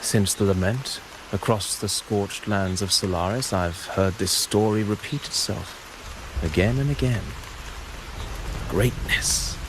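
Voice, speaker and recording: A grand, low-voiced poet storyteller. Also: male voice, noisy background. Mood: solemn. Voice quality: measured, poetic, declamatory delivery. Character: humble male storyteller poet, grand and reflective. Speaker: game character. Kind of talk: video game voice acting. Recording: game audio with loud rain. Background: steady rain.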